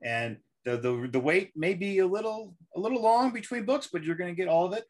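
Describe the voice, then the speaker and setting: A man, a guest author, chatting casually about writing in a halting way, often repeating words. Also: high voice, lively intonation, darkish tone.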